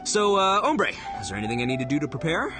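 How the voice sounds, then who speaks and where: A man's voice: fairly high pitch, wildly swooping pitch, quick pace. A man playing a brash, goofy, hot-headed but big-hearted gang boss in an anime video game, cocky and hammy. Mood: eager. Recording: loud music behind the voice.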